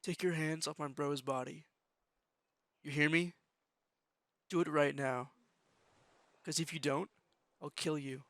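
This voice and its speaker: A man's voice: on the high side, fairly even intonation, measured pace, long pauses, fairly bright tone, no echo. A man playing a fierce, threatening fifteen-year-old little brother in a fan dub, with a menacing manner. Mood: cold threat. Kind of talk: fan-dub dramatic acting.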